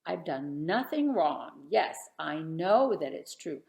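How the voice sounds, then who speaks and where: A woman's voice: low-pitched, big pitch swings, slow pace, vocal fry, darkish tone, heavily acted. A woman voicing a suffering righteous man who pleads in rhyme. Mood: pleading. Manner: rhyming, protesting. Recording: roomy echo.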